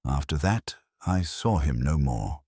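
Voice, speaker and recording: A man performs a cornered, trembling printer in a mystery audiobook. His deep voice is halting and pleading, with pitch that jumps about. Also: expressive, a little mellow, husky, no echo, studio quality, heavily acted. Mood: reluctant, fearful. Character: frightened, evasive male printer, cornered and trembling.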